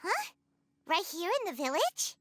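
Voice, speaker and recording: Super high squeaky little fairy sidekick from a game, blunt n huffy, real bouncy pitch, music behind. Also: female voice, wildly swooping pitch, a little slow, a bit bright, heavily acted. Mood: puzzled. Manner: squeaky, speaks of self in third person, indignant. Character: small childlike fairy companion, excitable and blunt. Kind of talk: video game voice acting.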